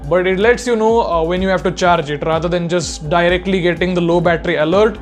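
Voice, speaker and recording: A man's voice: high-pitched, fairly quick, faint music behind. A young man giving a casual, explanatory product review in an informal way. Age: young adult. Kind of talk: casual spoken review to camera.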